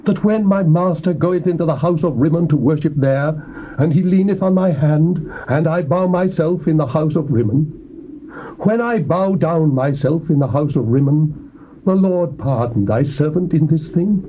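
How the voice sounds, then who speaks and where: An English actor playing a proud, grateful Syrian army captain, speaking in a declamatory, emphatic way on a lo-fi tape. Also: male voice, a little high, lively intonation, normal speed, a little hiss or hum, natural voice. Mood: humble. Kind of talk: theatrical audio Bible drama. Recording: dull lo-fi tape.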